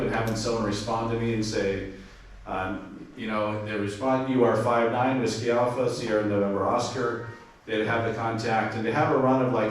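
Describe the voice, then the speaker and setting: A man giving an informal amateur radio club presentation, chatty, with lots of fillers and restarts. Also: mid-range voice. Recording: far-off mic in a very echoey room with faint noise.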